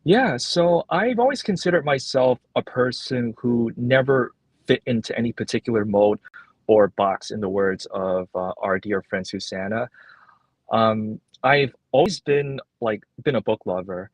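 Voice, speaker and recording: A man's voice: mid-range voice, animated, unhurried, dry recording. A young man whose first language is Cantonese, earnestly answering interview questions with many fillers and restarts from his workplace library. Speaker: guest.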